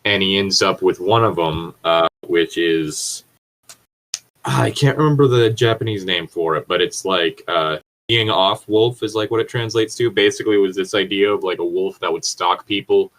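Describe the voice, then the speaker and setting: A man's voice: low-pitched, moderate pace, fairly bright tone, rough recording. A man chatting casually in a rambling way, swearing freely. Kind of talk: casual chat.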